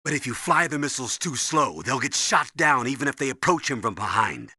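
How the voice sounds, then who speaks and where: An actor playing a stern older colonel in a video game, briefing and giving orders with a husky, commanding voice. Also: male voice, mid-range voice, big pitch swings, fairly quick, pushed and bright, no echo, clean studio sound, full character voice. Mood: instructive. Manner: commanding, urgent, instructive.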